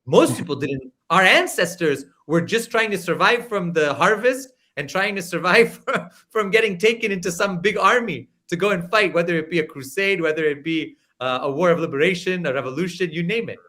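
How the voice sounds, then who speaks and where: A man's voice: high-pitched, expressive, moderate pace, hardly any pauses, bright, forceful tone. A man from the United States, talking on a live panel discussion in a reflective, rambling way with frequent restarts.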